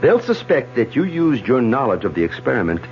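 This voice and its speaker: A man's voice: medium pitch, very animated, a little fast, a little hiss or hum. An actor playing Philip, a man who coaxes and then solemnly persuades, in 1940s radio-drama style. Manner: urgent, coaxing, then solemn. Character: man named Philip, anxious and controlling. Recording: dull old radio broadcast with music.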